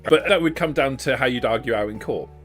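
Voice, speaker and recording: A man's voice: mid-range voice, expressive, fast, fairly bright tone, soft background music. A man speaking as game master of a tabletop roleplaying game, explaining things off the cuff.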